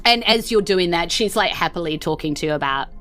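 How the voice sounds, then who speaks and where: A woman's voice: on the low side, lively intonation, a little fast, a bit bright, soft background music. A woman with a New Zealand accent narrating a tabletop game as game master in a loose, conversational way. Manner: loose, conversational, hedging with "like" and "sort of".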